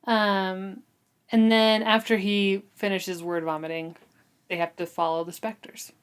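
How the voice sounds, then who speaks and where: A woman's voice: medium pitch, level tone, a little slow, clear, resonant tone. A woman co-hosting a book discussion, recapping a novel in a casual, giggly, conversational way. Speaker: book discussion podcast co-host.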